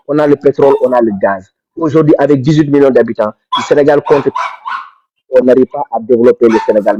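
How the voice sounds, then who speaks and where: A man's voice: mid-range voice, mellow, slight background noise. Fervent man, dull lo-fi sound. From Senegal. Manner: fervent, repetitive, rising to emphatic outbursts. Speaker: pan-African activist speaker. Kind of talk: impassioned political speech. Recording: dull dry lo-fi recording.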